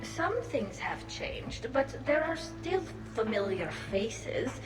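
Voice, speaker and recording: A teasing young alien engineer in a video game. The delivery is slow and expressive, with wide pitch swings, an echo on the voice and music behind. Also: female voice. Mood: playful, earnest. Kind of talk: video game character acting.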